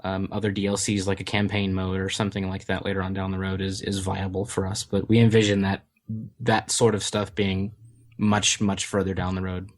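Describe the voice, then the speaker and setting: A man's voice: sits low, monotone, brisk. A man, the community manager of a game studio, answering interview questions in a hesitant way with many fillers and restarts.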